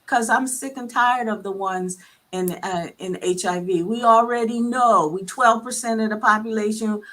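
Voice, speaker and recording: Bright, emphatic woman physician. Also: medium pitch. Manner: earnest, emphatic, tags points with "okay?". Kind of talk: casual panel conversation.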